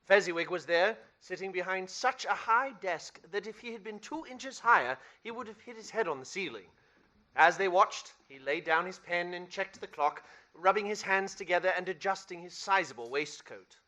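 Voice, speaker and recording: Man as a bright, high-voiced storyteller. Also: animated, moderate pace. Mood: warm storytelling. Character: earnest, animated storyteller narrator of Christmas tale. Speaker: narrator. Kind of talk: storybook narration in a radio play. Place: theater stage.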